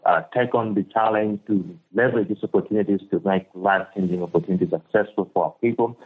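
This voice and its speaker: A young man from Uganda, a guest on a podcast interview, speaking earnestly and often repeating words as he thinks. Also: on the low side. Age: young adult. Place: home in Uganda, remote call. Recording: tinny phone-like call audio.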